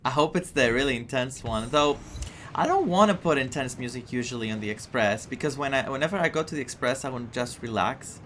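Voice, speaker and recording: A man's voice: medium pitch, lively intonation, a little fast, fairly bright tone, slight background noise. A man from Greece with a Greek accent, a non-native English speaker giving casual commentary as he plays a game. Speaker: game streamer. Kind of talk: casual playthrough commentary, reading dialogue aloud. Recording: game music behind the voice.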